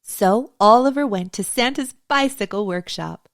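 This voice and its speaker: A woman reading a picture book aloud to young children as a storytime host, in an expressive, deliberate way. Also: mid-range voice, dry recording, studio quality.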